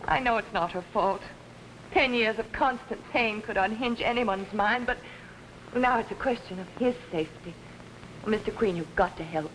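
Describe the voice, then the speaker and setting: An actress playing an ambitious, manipulative young pianist in early 1950s television drama, pleading in a melodramatic way. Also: female voice, mid-range voice, moderate pace, fairly bright tone, natural voice. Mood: anxious pleading. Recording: noisy old 1950s TV soundtrack.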